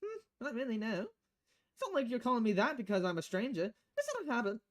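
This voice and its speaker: A woman's voice: fairly high pitch, sing-song, fairly quick. A woman voicing an easygoing bar boss in a visual novel. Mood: reassuring. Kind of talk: visual novel dialogue read aloud.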